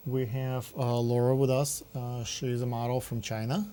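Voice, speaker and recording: A man hosting a public access TV interview, talking haltingly with many fillers and giving set directions. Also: mid-range voice, little pitch movement, warm tone, a little hiss or hum.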